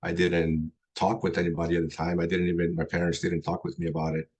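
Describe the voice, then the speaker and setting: A middle-aged man who grew up in Colombia tells his own story to a group, pausing and restarting often. His voice sits very low and is slightly husky. He speaks in a flat, even tone. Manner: halting, many ums and restarts. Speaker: guest speaker. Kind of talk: personal testimony talk.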